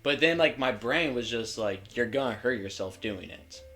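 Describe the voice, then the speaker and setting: A man's voice: medium pitch, lively intonation, moderate pace, fairly bright tone, soft background music. A young man co-hosting a casual podcast, rambling and stumbling over words, swearing freely. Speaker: podcast co-host. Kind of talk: casual chat.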